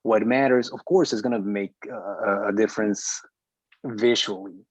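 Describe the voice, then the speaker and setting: A man explaining his view in a group discussion, halting and deliberate but emphatic, on a muffled call recording. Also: fairly low pitch, fairly even intonation, darkish tone, breathy edge, no echo.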